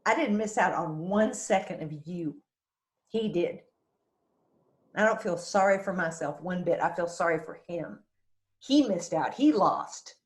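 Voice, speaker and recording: A woman's voice: lowish voice, expressive, unhurried. A woman playing a fearless, protective middle-aged single mother from a small town in a screenplay read, in a roomy recording. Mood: firm, tender. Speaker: actor in a screenplay table read. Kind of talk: dramatic screenplay table read. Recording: roomy, echoey sound.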